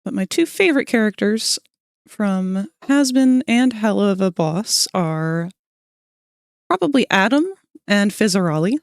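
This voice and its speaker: A woman's voice: medium pitch, animated, measured pace, clean tone, no room sound, studio quality. A woman streamer chatting casually with her viewers while sketching, her voice a little scratchy, greeting people by name and laughing often. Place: cold room at home.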